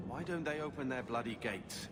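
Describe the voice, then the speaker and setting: A man's voice: mid-range voice, expressive, normal speed, close to an everyday voice. A man playing a cynical, impatient young refugee in a fantasy game, grumbling. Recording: noisy outdoor game ambience.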